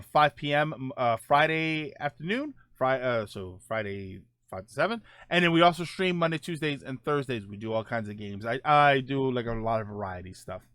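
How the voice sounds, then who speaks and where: A man's voice: medium pitch, fairly bright tone, dry recording, clean studio sound. A man hosting a casual live-stream show, answering viewer comments in a loose, rambling way with lots of fillers. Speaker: livestream show host.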